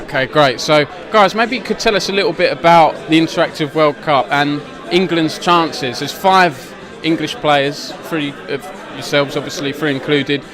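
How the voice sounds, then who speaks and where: A man's voice: fairly high pitch, normal speed, few pauses. A man interviewing players at an event, asking friendly, rambling questions. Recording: noisy crowd behind. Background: crowd chatter.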